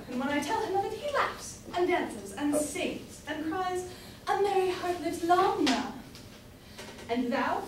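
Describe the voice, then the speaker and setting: A woman's voice: high voice, a bit bright. A young woman acting a bitter, scolding mother in a staged reading of a Jacobean comedy, speaking emphatically. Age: young adult. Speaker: student actor. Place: indoor room, far from the mic. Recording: very echoey room, far off, faint noise.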